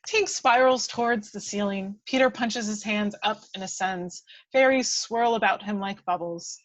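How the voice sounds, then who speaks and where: A woman's voice: medium pitch, no echo, low-quality recording. A woman reading scripted stage directions as the narrator of an amateur table read.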